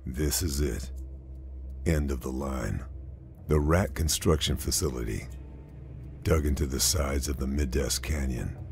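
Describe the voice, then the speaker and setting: An actor playing a stoic wartime sniper, narrating a video game cutscene in a terse, serious, measured manner. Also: male voice, very deep voice, gravelly, over loud music, heavily acted. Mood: grim, resolute.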